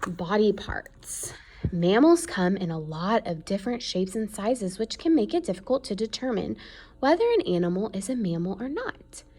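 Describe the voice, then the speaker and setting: A woman's voice: medium pitch, lively intonation, normal speed, darkish tone. A woman reading an educational children's book aloud in an explanatory way, stressing key words. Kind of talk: read-aloud educational narration.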